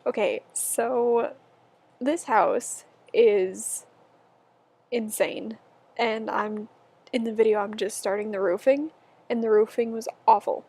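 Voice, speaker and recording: A woman's voice: fairly high pitch, fairly even intonation, takes it slowly, darkish tone, husky. A young woman in her twenties, a bit stuffy-nosed, chatting casually and giggling as she talks over her building video at home. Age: 20s. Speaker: YouTuber.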